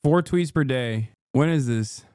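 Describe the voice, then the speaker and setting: A man's voice: medium pitch, measured pace, dark tone, no room sound, clean studio sound. A man in his thirties, a streamer, ranting in heated, profane reaction commentary and laughing as he talks. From Turkey. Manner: laughs through his lines.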